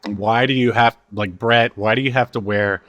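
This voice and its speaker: A man's voice: on the low side, steady tone, moderate pace, fairly bright tone. A man co-hosting a podcast in casual conversation, with joking asides. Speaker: podcast co-host. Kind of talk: casual chat about a reality TV show.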